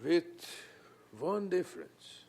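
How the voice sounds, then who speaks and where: A devout young German student in a radio drama. His delivery is slow and fervent, with wide, expressive swings in pitch. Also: creaky, a little hiss or hum, exaggerated voice. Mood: subdued resolve. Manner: earnest, deliberate, fervent.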